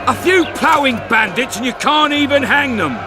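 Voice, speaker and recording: An actor playing a brash, scornful town commandant in a video game, coarse and menacing. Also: male voice, very high-pitched, lively intonation, over music, a crowd in the background. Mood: angry, scornful. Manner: barking, scornful, slow deliberate delivery.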